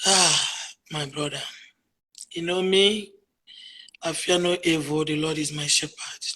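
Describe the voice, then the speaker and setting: A husky-voiced Nigerian woman, Igbo by first language, speaks in a fairly flat melody over a phone line. Her delivery is emphatic, sliding from low murmurs to loud, insistent declarations. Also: unhurried. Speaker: interviewee, activist. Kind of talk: emotional call-in interview.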